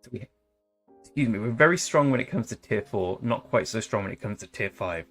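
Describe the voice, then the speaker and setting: A young man chats loosely while playing a strategy game on stream. His voice sits a little low and he rambles between jokes. Soft guitar music plays faintly underneath. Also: expressive, a little fast. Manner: jokey, rambling, tries silly accents. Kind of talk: casual live-stream chat over gameplay.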